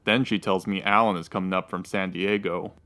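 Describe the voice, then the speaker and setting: A man's voice: low voice, steady tone, brisk, sharp tone. A man playing a dejected, lovesick suspect under questioning in a radio drama, plainspoken and unsure. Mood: earnest, aggrieved.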